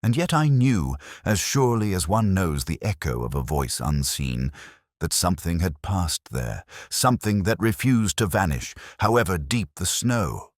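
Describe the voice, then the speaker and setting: A man narrating a detective mystery in the first person, with a measured, brooding, literary delivery. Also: low-pitched, lively intonation, dry recording, clean studio sound.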